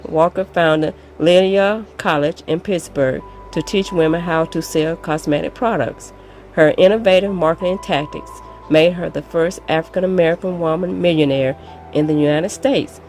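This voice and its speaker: A woman's voice: sits low, darkish tone, low-quality recording. A woman reading a scripted narration aloud as a volunteer reader. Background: music.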